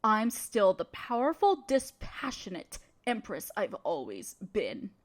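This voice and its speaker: A woman's voice: mid-range voice, wildly swooping pitch, takes it slowly, exaggerated voice. A woman playing a haughty, scheming evil empress in a scripted audio roleplay, speaking imperiously and theatrically to a listener. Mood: defensive, imperious.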